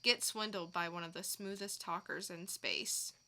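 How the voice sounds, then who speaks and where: Very bright-voiced woman co-hosting a podcast. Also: on the low side, fairly even intonation, normal speed, slight background noise. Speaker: podcast co-host. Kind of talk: scripted read-out of teasers.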